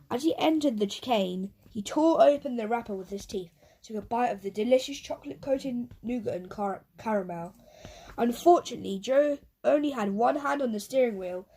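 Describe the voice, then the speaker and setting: A woman narrating a children's audiobook in an expressive storytelling way, with the odd stumble over words. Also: fairly high pitch. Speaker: audiobook reader.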